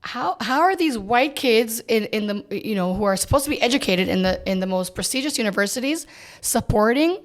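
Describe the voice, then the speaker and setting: A woman's voice: on the high side, animated, a little fast. A woman born in Israel and raised in the US, a realtor guest speaking earnestly in a podcast chat, often stopping and restarting. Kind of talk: casual chat.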